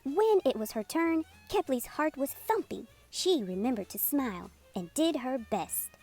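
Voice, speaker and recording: A woman reading a children's picture book aloud as a storytime host, in an expressive, unhurried storytelling manner. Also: high-pitched. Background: music playing under the voice.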